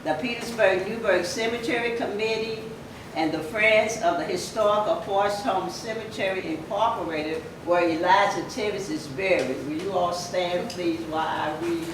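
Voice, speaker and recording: A woman with a mid-range voice gives a measured ceremonial address at a podium. She is heard from a distance in a strongly echoing hall, with noise around her. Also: medium pitch. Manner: formal and measured, with a blessing. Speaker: society president. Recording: echoey hall from far off, noisy.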